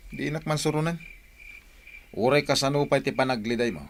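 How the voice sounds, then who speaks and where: A man's voice: medium pitch, fairly quick, slight background noise, natural voice. A man acting a harsh, domineering husband in a radio drama, commanding and menacing. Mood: stern. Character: harsh, domineering husband forbidding his wife.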